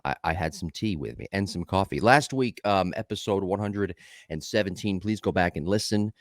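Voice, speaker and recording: A low-voiced man hosts a podcast in a chatty, upbeat way, often wandering off topic. His voice is recorded close and dry, with no room sound. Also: normal speed, dry recording. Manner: upbeat, talkative, tangent-prone. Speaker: podcast host. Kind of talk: casual podcast chat.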